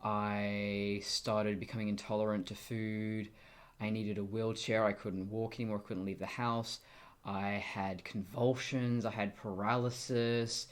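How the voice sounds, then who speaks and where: A young woman with a deep voice for a woman, talking casually and candidly to camera in a wry, self-interrupting way. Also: flat delivery, unhurried. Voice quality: deep voice for a woman, deepened by testosterone. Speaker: vlogger. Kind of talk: casual personal monologue to camera.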